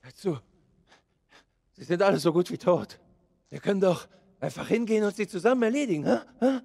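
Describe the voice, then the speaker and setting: A man's voice: high voice, lively intonation, brisk, creaky, a little mellow, exaggerated voice. An actor playing a beaten, cornered male arms dealer in a video game, pleading and whining in pain. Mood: nervous persuading. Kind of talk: dramatic video game acting, German dub.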